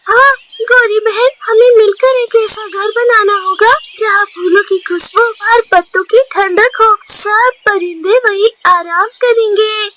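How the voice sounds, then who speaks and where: A woman's voice: very high-pitched, steady tone, takes it slowly, sharp tone, a little hiss or hum, exaggerated voice. A woman voicing a kindly, earnest mother bird in a children's cartoon. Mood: earnest, hopeful. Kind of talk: Hindi children's cartoon dubbing.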